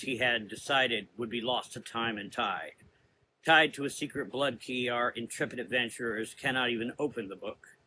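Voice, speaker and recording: A man's voice: medium pitch, pushed and bright, slight background noise, low-quality recording. A man narrating a scripted gothic horror story as the narrator of an audio drama.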